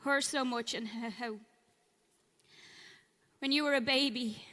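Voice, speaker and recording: A woman reading out an eerie message onstage in a horror drama. Her voice sits fairly high and rings through a reverberant live venue. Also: steady tone, measured pace, pushed and bright. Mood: eerie, menacing. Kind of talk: live audio drama monologue. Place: cellar performance venue before an audience. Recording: echoey live cellar venue.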